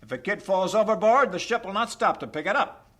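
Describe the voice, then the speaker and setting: Radio play captain: American, high forceful voice, blunt, impatient, barking. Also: male voice, fairly quick. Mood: stern, irritated. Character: brusque, impatient troop ship captain. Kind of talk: radio drama acting.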